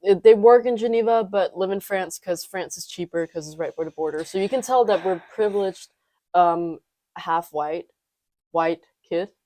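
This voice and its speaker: Giggly young woman, lowish mellow voice. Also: normal speed, dry recording. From Denmark and Thailand. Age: late teens. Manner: relaxed, giggly, hesitant with fillers. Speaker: podcast co-host. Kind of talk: casual chat. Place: student apartment.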